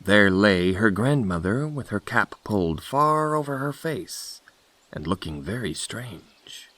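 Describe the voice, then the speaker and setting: A man narrating a scripted fairy tale as an audiobook reader, with expressive, deliberate delivery. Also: fairly low pitch, dry recording.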